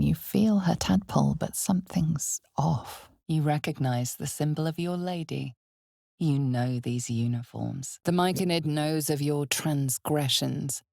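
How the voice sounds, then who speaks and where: A woman playing an ominous, knowing narrator of a dark fantasy video game, speaking in a measured, dramatic, hushed way. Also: low voice, gravelly, no room sound, studio quality, full character voice.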